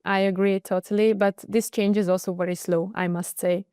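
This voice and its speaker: A woman's voice: medium pitch, flat delivery, normal speed, dark tone, clean tone, dry recording, studio quality. A woman from Hungary, giving earnest, determined answers as an interview guest. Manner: earnest and determined, with some hesitations. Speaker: guest, internal audit director.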